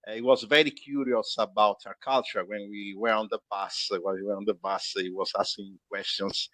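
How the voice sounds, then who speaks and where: Halting Italian-accented man, dry close sound. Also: fairly low pitch, steady tone, bright, forceful tone, no echo. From Calabria, Italy. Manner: halting, searching for words, restarting sentences. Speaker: tour guide being interviewed. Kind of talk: conversational anecdotes in an interview.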